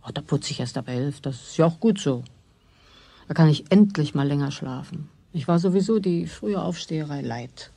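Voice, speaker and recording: Older working grandmother, gravelly, mellow-dark tone, unfussy, matter-of-fact, children's radio play. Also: deep voice, expressive, moderate pace, no echo, exaggerated voice. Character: brisk, unfussy working grandmother, a cleaning lady.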